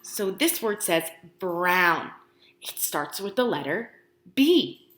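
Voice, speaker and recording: A woman reads a picture book to small children as their teacher, in an unhurried, lively, swooping voice. She puts questions to the listeners and waits for replies, exaggerating her words to encourage them. The room adds a clear echo. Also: lowish voice. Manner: expressive, asking questions and pausing for answers; exaggerated, encouraging talk aimed at young children. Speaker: pre-K teacher reading aloud to children. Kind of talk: interactive children's picture book read-aloud.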